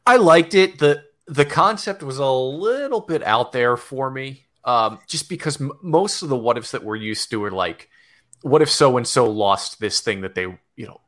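A man on a podcast panel giving measured, qualified opinions in casual review chat. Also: medium pitch, lively intonation, a bit bright.

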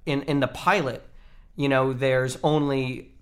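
A man's voice: mid-range voice, steady tone, measured pace. A man, an actor as a podcast guest, telling an anecdote in casual interview talk and acting out quoted exchanges.

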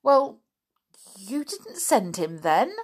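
A woman voicing a kind, gentle young male rabbit who talks softly and earnestly. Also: a little high, animated, slow pace, full character voice. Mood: astonished. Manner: giggly, soft and earnest.

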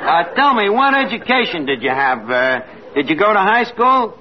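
A man's voice: high-pitched, big pitch swings, normal speed. An actor playing a brash, wisecracking New York tavern manager with pretensions to refinement in a 1940s radio comedy, mangling his grammar. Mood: sardonic. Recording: muffled noisy old radio recording, audience.

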